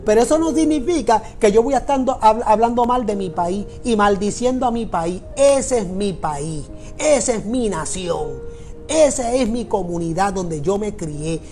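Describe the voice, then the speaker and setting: Emphatic Puerto Rican pastor preaching. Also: male voice, sits high, lively intonation, unhurried, a little mellow, faint music behind. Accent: Puerto Rican Spanish. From Puerto Rico. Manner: emphatic, repeats phrases for weight. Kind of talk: sermon.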